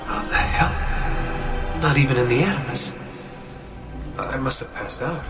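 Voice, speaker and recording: A man's voice: mid-range voice, a little slow, lightly acted. An actor playing a weary, puzzled young man in a video game, with a casual, muttering manner. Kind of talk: video game cutscene acting. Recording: music behind, off-mic sound.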